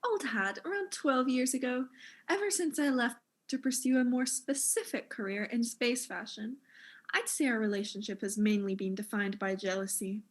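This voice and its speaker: A woman's voice: on the high side, moderate pace, natural voice. A woman playing a vain, catty space fashion designer in a mystery drama, self-important and theatrical. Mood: boastful. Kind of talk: acted mystery audio drama.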